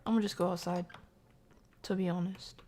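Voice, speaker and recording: A woman streamer giving casual, chatty horror game commentary, muttering to herself in a breathy voice that often drops to a whisper. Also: lowish voice, steady tone, a little fast, mellow, slight background noise.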